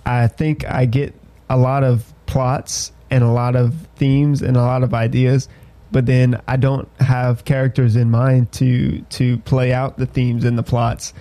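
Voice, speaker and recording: A man's voice: mid-range voice, level tone, dark tone, dry recording. A man in casual conversation, speaking hesitantly about his writing struggles. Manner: hesitant, halting. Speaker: aspiring writer asking for advice. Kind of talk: casual chat.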